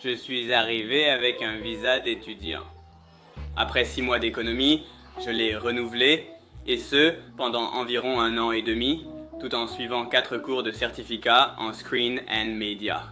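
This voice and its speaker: A man from Colombia speaking in a relaxed, plain-spoken way in an interview. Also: mid-range voice, measured pace, faint music behind.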